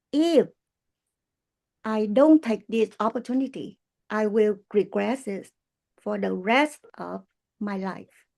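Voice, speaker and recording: Very slow, creaky Vietnamese-accented woman. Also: medium pitch, a little mellow. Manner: halting, earnest, non-native English with pauses. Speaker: guest speaker, business distributor. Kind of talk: prepared testimonial speech.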